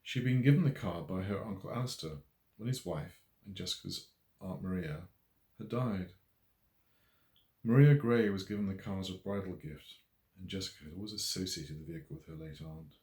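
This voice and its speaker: A man with a deep voice narrates a story in a measured, expressive literary style. The recording is roomy, with audible echo around the words. He reads scripted prose as an audiobook narrator. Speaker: author reading own novel aloud.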